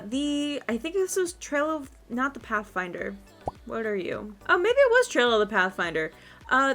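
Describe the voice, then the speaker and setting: Chatty high-voiced woman over background music. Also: pushed and bright. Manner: chatty, informal, encouraging. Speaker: gaming video host. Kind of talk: casual gaming guide commentary.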